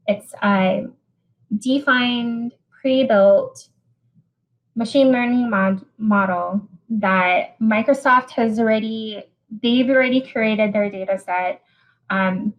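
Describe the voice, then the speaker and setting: A woman's voice: medium pitch, poor audio. Hawaiian lady walking through a tech demo on a call, stop-start, repeats herself a lot. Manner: hesitant, repeats words and restarts often. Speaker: presenter. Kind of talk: technical webinar presentation.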